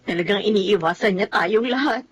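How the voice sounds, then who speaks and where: A woman's voice: medium pitch, normal speed, a little hiss or hum, lightly acted. An actress playing a worried, disapproving elderly mother, fretting in radio drama style. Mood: anxious, disapproving. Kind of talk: Filipino radio drama acting.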